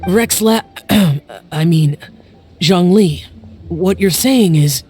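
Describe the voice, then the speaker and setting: A man's voice: high voice, very slow, darkish tone, soft background music. A man voicing an aloof, reserved young immortal guardian in a video game, terse and formal. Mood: awkward, deferential. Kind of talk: English video game voice acting.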